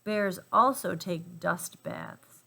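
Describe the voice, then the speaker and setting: Slow, creaky woman reading a children's storybook. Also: on the low side, sharp tone. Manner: emphatic, playful delivery for young children. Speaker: storybook reader. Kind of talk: expressive children's read-aloud.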